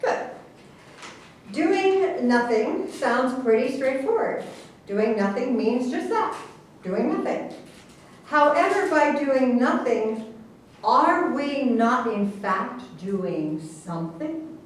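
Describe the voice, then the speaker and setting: A woman in a staged reading playing an earnest, pompous government official who lectures with deliberate emphasis. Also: high-pitched, very animated, full character voice. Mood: earnest lecturing. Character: government official earnestly explaining absurd secret science. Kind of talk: theatrical staged reading of a satirical play. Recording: very echoey room, distant mic, faint noise.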